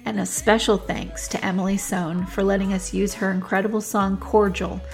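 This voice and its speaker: A woman hosting a podcast interview, conversational and affirming, steering the talk with brisk wrap-ups. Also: medium pitch, level tone, vocal fry, a little mellow. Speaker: podcast host.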